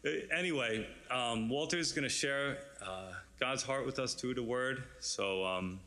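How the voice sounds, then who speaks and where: A man with a bright, edgy voice introducing the guest preacher, pausing with fillers as he goes. His pitch sits in an ordinary middle range for a man. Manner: hesitant, filler-heavy introduction. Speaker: service host.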